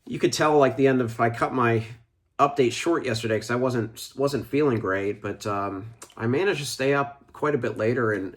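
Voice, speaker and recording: A man giving casual, rambling reading updates for his book vlog while congested from a cold. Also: lowish voice. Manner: rambling, thinking aloud. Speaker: book vlogger.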